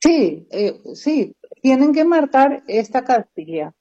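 A woman teacher from Paraguay, explaining things in a measured way in a casual conversation. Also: sits high, sing-song, dark tone, husky. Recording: dull lo-fi sound.

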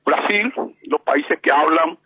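Man, Paraguayan Spanish accent, radio commentator calling in, slow and measured, raised pitch, muffled phone line, dry sound. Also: breathy edge, no room sound. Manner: slow and measured, with many hesitations. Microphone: telephone.

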